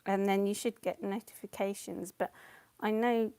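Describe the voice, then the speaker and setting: A chatty woman hosting a painting stream, her voice worn down and a little gravelly. She talks loosely to her viewers between laughs, close and dry to the mic. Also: mid-range voice, fairly even intonation, normal speed, mellow, no room sound. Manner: chatty, laughs often, reads comments aloud. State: losing her voice, coughing. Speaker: painting livestream host. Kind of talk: casual chat while painting.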